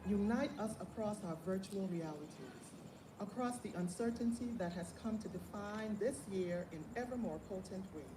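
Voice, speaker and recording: Female prayer reader, solemn and measured, mid pitch, roomy recording with clear noise. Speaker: prayer leader. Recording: distant, echoey, noisy event recording.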